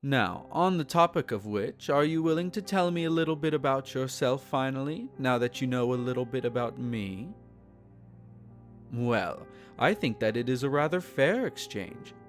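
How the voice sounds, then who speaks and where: A man's voice: medium pitch, expressive, moderate pace, soft background music, close to an everyday voice. A man playing a possessive, teasing vampire in an intimate roleplay audio, speaking in formal, silky tones and courtly endearments. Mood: courteous, curious. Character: possessive, teasing, courtly male vampire, centenarian yet youthful. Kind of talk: intimate roleplay audio, scripted character acting.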